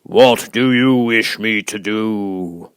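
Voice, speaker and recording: Oz, a booming, imperious giant head. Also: male voice, takes it slowly, clear, resonant tone, no echo, studio quality. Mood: imperious, questioning.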